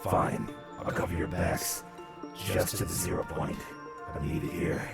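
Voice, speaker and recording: A man voicing a gruff, terse, commanding armored warrior in a comic reading, with a gravelly voice. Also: sits low, little pitch movement, unhurried, full character voice. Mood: stern, curt. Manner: curt, commanding. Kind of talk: comic book voice acting. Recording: background music under the voice.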